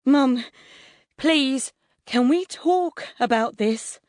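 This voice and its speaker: A woman's voice: lively intonation, extremely slow, darkish tone, dry recording, studio quality, exaggerated voice. A woman voicing a panicky, sulky teenage boy in a story, pleading in terror. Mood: terrified. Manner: high-pitched terrified pleading.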